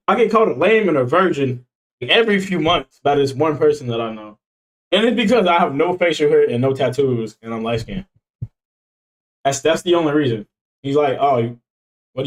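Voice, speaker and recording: A man's voice: medium pitch, poor audio. A man in his twenties chatting casually on stream, joking around in a slangy way and laughing often. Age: 20s. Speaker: streamer reacting to videos.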